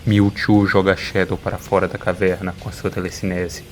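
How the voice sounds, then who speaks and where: A man's voice: low voice, little pitch movement, normal speed, faint music behind. A man with a Brazilian accent reading dramatic scripted narration.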